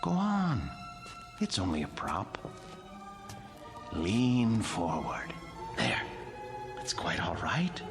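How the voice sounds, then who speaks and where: Earnest creepy wax museum curator, radio drama, really varied pitch, takes his time. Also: wildly swooping pitch, extremely slow, long pauses, music in the background, exaggerated voice. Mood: grave, theatrical. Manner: hesitant, stammering with his boss, theatrical when guiding. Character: devoted, earnest male wax museum curator, morbid and deferential. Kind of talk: audio drama acting.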